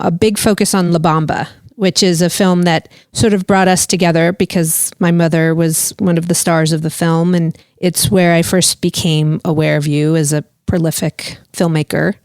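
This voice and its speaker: A woman hosting a podcast, chatty and quick to laugh, with a slightly scratchy voice. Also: fairly low pitch, a little mellow, smooth, dry recording, studio quality. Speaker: podcast host. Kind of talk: casual podcast interview.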